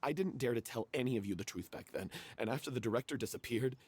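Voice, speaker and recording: A man voicing a remorseful, cowardly young member of a theatre troupe in a video game, halting and anguished as he confesses. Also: medium pitch, a little mellow, husky, no room sound, natural voice. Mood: confessional, uneasy.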